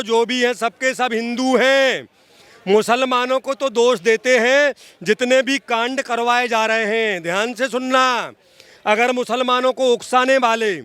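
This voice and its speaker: Very high-pitched man, emphatic. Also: fairly bright tone, smooth, dry recording. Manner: emphatic, repeats phrases, addresses listener directly. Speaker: social activist being interviewed. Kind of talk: impassioned opinionated political commentary.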